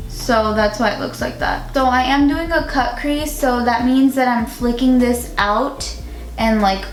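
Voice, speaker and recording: Chatty young woman in an echoey room. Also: fairly high pitch. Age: 20s. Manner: chatty, uses "like" often. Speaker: beauty vlogger. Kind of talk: casual makeup tutorial chat. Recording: echoey room with music behind.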